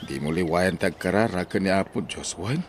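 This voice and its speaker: Deep-voiced gentle, devout Ilocano father played by an actor. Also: normal speed, over loud music, close to an everyday voice. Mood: tender, advising. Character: gentle, devout old father advising his child. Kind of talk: Ilocano radio drama acting.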